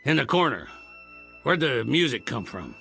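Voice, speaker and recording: An actor playing a bewildered, volatile armed outsider who speaks tersely and with agitation in a television drama. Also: male voice, mid-range voice, very animated, normal speed, vocal fry, full character voice. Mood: wary, demanding. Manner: agitated, terse, demanding, repeating himself. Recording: loud music behind voice.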